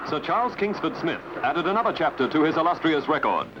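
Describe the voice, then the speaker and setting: A man's voice: fairly high pitch, sing-song, a little fast, highs cut off, noisy background. A man reading scripted documentary narration as a newsreel narrator. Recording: band-limited archive sound over music. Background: music.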